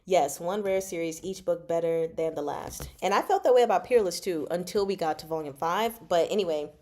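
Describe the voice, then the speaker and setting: A woman hosting a casual book discussion, chatty and expressive, often pausing mid-thought. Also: fairly low pitch. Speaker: book discussion vlog host. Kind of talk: casual live book chat.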